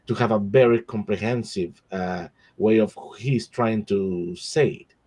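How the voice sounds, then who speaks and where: A man with a somewhat low voice talks slowly in a video call panel. His first language is Spanish, and he keeps pausing and restarting as he hunts for the right word. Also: a little mellow. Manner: searching for words, many restarts. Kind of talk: online panel discussion.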